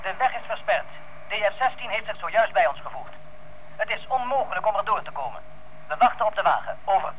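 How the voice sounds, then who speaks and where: A fast, very high-pitched policeman at a roadblock reports in clipped procedural phrases. It sounds like a police radio in an old radio play. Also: animated, fairly bright tone, slight background noise, poor audio. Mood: businesslike.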